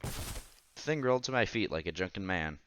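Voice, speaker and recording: A man's voice: sits low, lively intonation, moderate pace, fairly bright tone, slight background noise. A man reading a visual novel aloud as narration, sometimes stumbling over words and restarting. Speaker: host of a visual novel read-through. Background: music.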